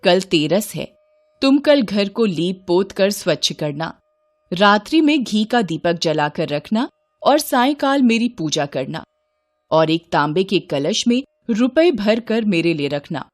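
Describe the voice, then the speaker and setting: A woman storyteller voicing a gracious goddess who gives gentle, formal instructions in a devotional tale. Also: mid-range voice, sing-song, slow, no room sound, studio quality. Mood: kindly, instructing.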